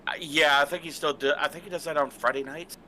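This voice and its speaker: A man chatting casually on a podcast in an animated, rambling way. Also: a little high, fast, slight background noise. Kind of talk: casual chat.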